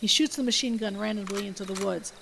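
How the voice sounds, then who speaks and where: A woman's voice: medium pitch, fast talker, creaky, fairly bright tone, slight background noise, low-quality recording. A woman podcast host reading her own script aloud, stage directions and all. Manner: reads stage directions steadily. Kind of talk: script read-aloud narration.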